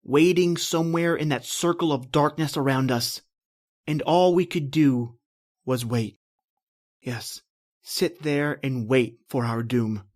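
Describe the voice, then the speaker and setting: An actor playing a terrified, desperate man recounting his flight from a monster, in intense old-time radio horror style with drawn-out, repeating phrases. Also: mid-range voice, a little mellow, lightly acted. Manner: intense, breathless repetition, drawn-out dramatic phrasing. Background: music.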